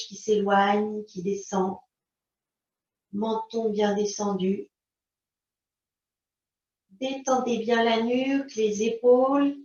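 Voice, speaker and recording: A woman teaching yoga, giving calm, unhurried guidance in short phrases. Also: on the high side. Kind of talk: guided yoga and relaxation instruction. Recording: roomy, distant mic, dull sound.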